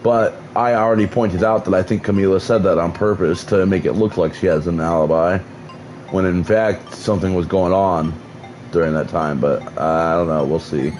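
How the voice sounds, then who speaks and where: A man's voice: low-pitched, steady tone, moderate pace, darkish tone, soft background music. A man streaming a game, chatting casually in a rambling way with many restarts and casual swearing, sounding like he is losing his voice. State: losing his voice, mouth numb. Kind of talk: casual gameplay commentary.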